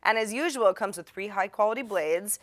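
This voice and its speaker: A woman presenter speaks at a middle pitch, mixing scripted lines with casual asides. Her manner is chatty and playful, with a wry, self-deprecating streak as she addresses her audience directly. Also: a little fast, fairly bright tone. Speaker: host of a tech tips show.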